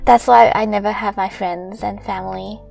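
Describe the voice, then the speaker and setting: A young woman with a soft voice and a gravelly edge to it. Her first language is Chinese, and she co-hosts a relaxed podcast conversation, laughing often. Faint music plays under her voice. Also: mid-range voice, moderate pace. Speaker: podcast co-host. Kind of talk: casual chat.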